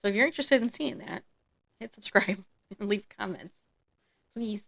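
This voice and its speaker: A woman streaming a farming game, chatting casually through her gameplay in a relaxed, rambling way, a little congested from allergies. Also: mid-range voice, moderate pace, vocal fry. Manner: relaxed, chatty, trailing off with ums.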